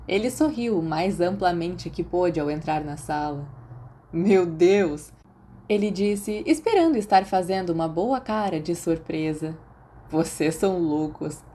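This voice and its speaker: Mellow, low-pitched Brazilian woman narrating. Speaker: audiobook narrator. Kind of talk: scripted fiction narration. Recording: faint background noise.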